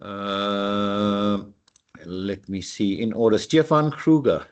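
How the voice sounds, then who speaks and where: Man, lowish voice, measured and polite; meeting moderator on a video call. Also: dull audio. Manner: measured, polite, summarizing questions.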